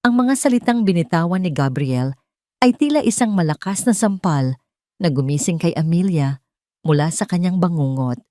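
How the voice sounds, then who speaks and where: A woman's voice: lowish voice, animated, normal speed, mellow, no echo, clean studio sound. A woman narrating a scripted romantic drama as a storyteller, in a dramatic style.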